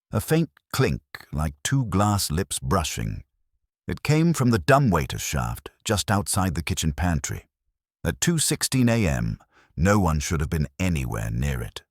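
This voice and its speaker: Really deep male narrator reading a detective story, pitch moves around a lot, dry close sound. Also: no echo. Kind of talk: scripted first-person detective story narration.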